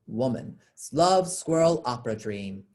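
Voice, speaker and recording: Lively woman reading stage directions. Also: a bass voice, measured pace. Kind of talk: scripted narration of stage directions.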